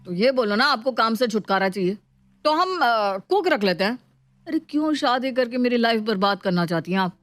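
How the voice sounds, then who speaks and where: A woman's voice: fairly high pitch, animated, moderate pace, fairly bright tone, dry recording. A woman voicing an irritable, lovestruck young male office worker in casual, slangy Hinglish for a story. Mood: irritated, weary. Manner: casual Hinglish slang, grumbling or musing to himself.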